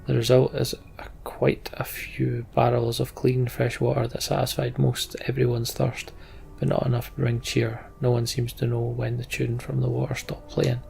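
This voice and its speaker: Scottish male let's play host, storytelling narration and casual commentary, very creaky voice at medium pitch. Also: completely flat delivery, normal speed, a little mellow, slight background noise. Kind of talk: game commentary and dramatic narration of on-screen text.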